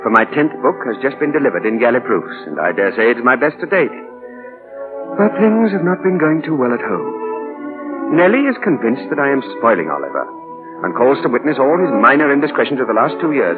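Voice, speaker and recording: A man's voice: medium pitch, big pitch swings, brisk, lightly acted. A man playing a doting, idealistic father and novelist in 1940s radio drama, speaking earnestly and theatrically, hesitant when moved. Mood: reflective. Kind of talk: 1940s radio drama acting with diary narration. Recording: muffled old 1940 radio broadcast, music behind.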